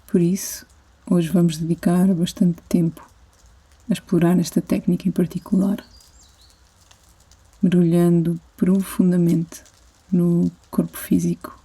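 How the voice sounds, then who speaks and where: A woman with a European Portuguese accent guides a sleep meditation as a yoga instructor, soothing and gentle, with long pauses between phrases. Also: lowish voice, little pitch movement, slightly rough.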